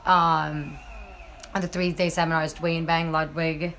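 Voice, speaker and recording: A slightly hoarse woman hosting a casual live stream about fights, chatting to viewers with lots of fillers. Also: deep voice, level tone, measured pace, a bit bright, a little hiss or hum. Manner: chatty, many fillers, addresses viewers directly. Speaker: MMA journalist and live-stream host.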